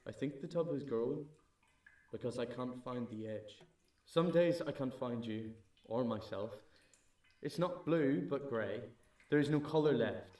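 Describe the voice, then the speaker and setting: Heard through roomy echo and some distance from the mic, a man recites poetry. His pitch sits in the middle range. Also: unhurried, dark tone. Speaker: poetry reader. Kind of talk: spoken-word poetry recital.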